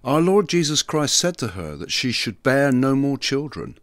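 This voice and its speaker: A man's voice: mid-range voice, sing-song, moderate pace, fairly bright tone, dry recording. A man giving a dramatic scripted narration as a storyteller.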